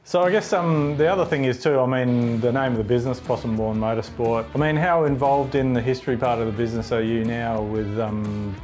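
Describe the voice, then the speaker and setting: A man's voice: medium pitch, a little fast, few pauses, over music. A man hosting an interview, chatty and easygoing, asking questions in a casual way. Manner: easygoing, chatty, uses "you know" and "I mean". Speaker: host.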